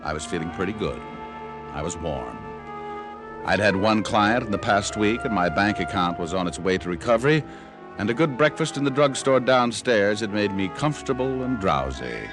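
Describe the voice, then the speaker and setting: An actor playing a laid-back, wry private detective in a 1950s radio drama, with casual, clipped hardboiled delivery. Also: male voice, fairly low pitch, expressive, normal speed, lightly acted. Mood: relaxed, narrating. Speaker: lead actor and narrator. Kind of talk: 1950s radio detective drama acting, first-person narration. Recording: vintage radio recording with music.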